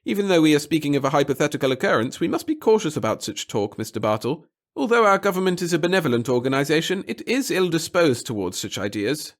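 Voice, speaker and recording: A man's voice: on the high side, sing-song, fast talker, hardly any pauses, voice pushed up. A man voicing a small, nervous, fussy bureaucrat in an audiobook, speaking in formal, wordy, obsequious sentences. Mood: flustered, cautious. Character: little wizened fussy nervous male bureaucrat with hidden cunning. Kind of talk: audiobook character acting.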